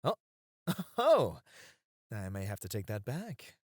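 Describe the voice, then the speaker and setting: A man's voice: on the low side, wildly swooping pitch, takes it slowly, no room sound, clean studio sound, full character voice. A man playing a teasing, dramatic, flirty club host in an ASMR roleplay, with a coy, effusive manner.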